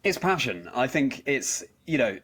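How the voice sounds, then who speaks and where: A man's voice: mid-range voice, big pitch swings, unhurried, a bit bright, breathy edge. A man hosting a conversational interview, attentive and encouraging as he reacts and asks follow-up questions. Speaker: podcast host, interviewer.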